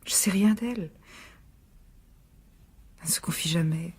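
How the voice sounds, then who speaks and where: Husky, defensive divorced mother. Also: fairly low pitch, a little slow, darkish tone. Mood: weary, subdued. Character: defensive, exasperated divorced mother standing her ground. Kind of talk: French radio drama acting.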